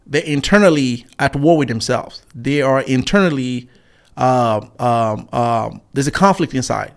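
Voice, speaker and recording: Rambling man in his forties. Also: mid-range voice. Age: 40s. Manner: rambling, scornful, many fillers and restarts. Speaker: YouTube commentator. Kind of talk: casual commentary reading viewer comments.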